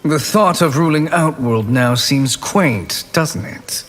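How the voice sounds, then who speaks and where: A man's voice: on the high side, slow pace. A man playing a cunning, haughty sorcerer in a fighting game, with a calculating manner. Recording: long reverb, faint noise.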